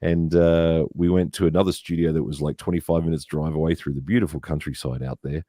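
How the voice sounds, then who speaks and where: A man's voice: very deep voice, steady tone, fairly quick, dark tone, dry recording, clean studio sound. A man hosting a live stream, chatty and informal, with "uh" fillers, addressing guests as "mate". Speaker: livestream host.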